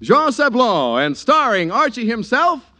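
A man's voice: very high-pitched, sing-song, unhurried, heavy background noise. A man announcing a 1940s radio show from a script, with a polished, emphatic sales delivery. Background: music.

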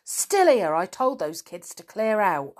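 A woman's voice: medium pitch, big pitch swings, a little slow. Woman doing a furious, bad-tempered circus man. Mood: sneering. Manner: angry shouting.

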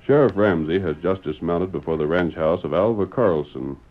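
A man's voice: sits low, animated, moderate pace, faint music behind. A man with an American accent narrating an old-time radio western in a grand, declamatory announcer style. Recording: dull vintage radio recording.

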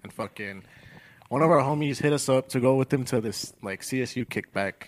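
A man's voice: medium pitch, brisk, no room sound. A man in his twenties trading crude, laughing banter with friends in a casual chat. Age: 20s.

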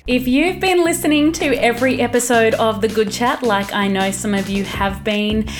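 A woman in the host's chair speaks into a studio microphone, bubbly and effusive, with a loud voice that projects. Faint music sits softly underneath her. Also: a little high. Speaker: podcast host. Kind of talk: podcast intro and interview.

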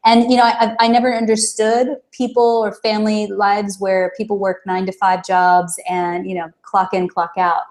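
A woman's voice: mid-range voice, flat delivery, normal speed, hardly any pauses, darkish tone. A woman in her thirties from Texas, chatting casually as an interview guest, full of ums and easy laughter. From Dallas, Texas. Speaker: guest, events company founder.

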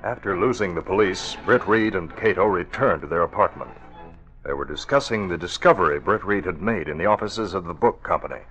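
A male announcer giving measured, formal scripted narration for a radio drama. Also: low voice, expressive, fairly bright tone. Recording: dull old radio recording with music.

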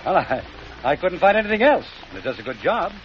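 A flustered, stammering young gent. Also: male voice, high-pitched, big pitch swings, fast talker. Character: flustered rich young man posing as a butler. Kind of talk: 1940s radio acting. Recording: dull old radio broadcast with hiss.

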